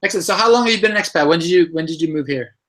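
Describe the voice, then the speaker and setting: A man's voice: on the high side, animated, very fast, a bit bright. A man hosting an interview show, asking questions and reacting with eager interjections. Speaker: host. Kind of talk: interview host.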